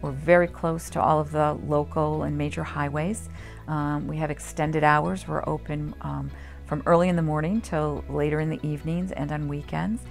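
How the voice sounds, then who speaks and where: Low-voiced woman, earnest, over music. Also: fairly even intonation, moderate pace, gravelly. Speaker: staff representative of a community health organization. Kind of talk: explanatory promotional interview. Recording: music playing under the voice.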